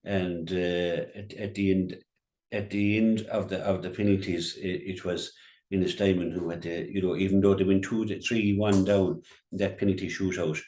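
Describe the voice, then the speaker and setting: A very deep-voiced man with a County Clare accent talks through local sport off the cuff. He stumbles over words, repeating and restarting as he goes. The sound is echoey and roomy. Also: fairly even intonation, moderate pace, darkish tone. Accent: Irish, County Clare. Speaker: local radio sports pundit. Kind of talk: unscripted GAA sports commentary on local radio.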